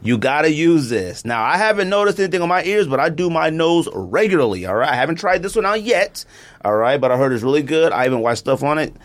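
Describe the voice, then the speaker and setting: A man's voice: on the high side, fast. A middle-aged man hosting a podcast, chatting casually and laughing as he keeps insisting he is not joking. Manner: animated, laughing storyteller, repeats "I'm not even joking". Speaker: podcast host. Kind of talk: casual chat with ad read.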